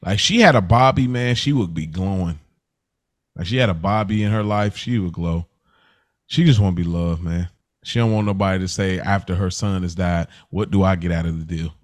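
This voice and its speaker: A man's voice: sits low, fairly quick. A man co-hosting a casual TV review podcast, talking in a slangy, conversational way. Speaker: podcast co-host.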